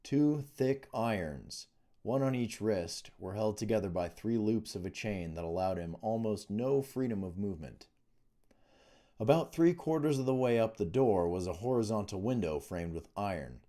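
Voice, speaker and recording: A man narrates a fantasy novel as its author, reading from the written text. His voice sits low, with a dark, soft-edged timbre. The delivery is that of scripted audiobook storytelling. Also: expressive, moderate pace. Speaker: author reading own book.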